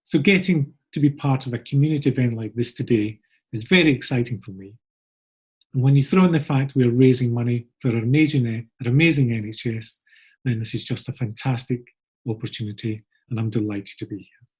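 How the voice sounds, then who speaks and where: A man's voice: mid-range voice, fairly quick, a little mellow, slightly rough. A middle-aged Scottish man giving a friendly, upbeat pre-recorded conference talk about his agency. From Scotland. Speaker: agency co-founder presenting.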